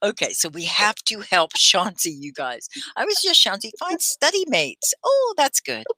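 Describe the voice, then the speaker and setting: Middle-aged female ESL teacher and host from Texas; animated, bright and bright-toned delivery. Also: medium pitch, moderate pace, no room sound. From Texas, USA. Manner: animated, explanatory, laughs often. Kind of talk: live online English lesson.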